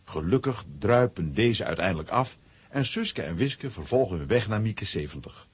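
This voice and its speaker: A man narrates a children's story in a very low voice. It is strikingly husky, and the pitch moves in lively swings. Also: moderate pace. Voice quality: very husky, rough.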